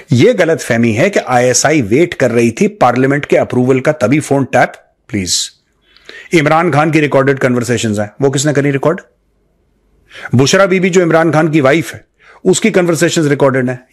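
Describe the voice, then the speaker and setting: A man with an Indian accent giving unscripted political commentary to viewers, emphatic, repeating phrases, asking rhetorical questions and switching into English phrases. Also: a little high. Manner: mixes English phrases into talk.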